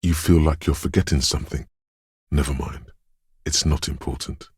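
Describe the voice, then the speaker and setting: A man voicing a detective's eerie, dreamy intuition in a video game, narrating in a husky, hushed, ominous and poetic way. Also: fairly even intonation, moderate pace, no room sound, clean studio sound, full character voice. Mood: brooding, mysterious. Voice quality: husky, gravelly bass. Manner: hushed, ominous, poetic, lingering on short phrases. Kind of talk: atmospheric second-person video game narration.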